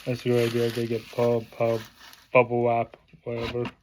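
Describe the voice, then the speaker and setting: A man talking casually through an unboxing vlog, halting with many fillers and restarts, praising what he shows. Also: medium pitch, a little hiss or hum, rough recording. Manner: plain enthusiastic praise in a flat delivery. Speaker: vlogger.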